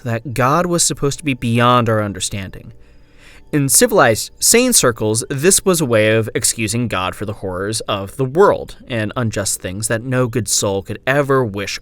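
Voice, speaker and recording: A man playing a wary frontier traveller, narrating a scripted horror journal in a grave, measured, dramatic way. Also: medium pitch, a bit bright, no echo.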